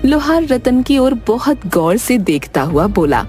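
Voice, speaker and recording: A woman's voice: a little high, big pitch swings, a little slow, darkish tone, soft background music. A woman narrating a cartoon moral story from a script.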